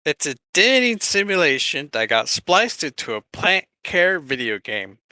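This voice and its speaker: A man's voice: a little high, big pitch swings, unhurried, bright, forceful tone, clean tone, no room sound. A man co-hosting a podcast, presenting a countdown list in casual chat with an informal, hesitant delivery. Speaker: podcast co-host.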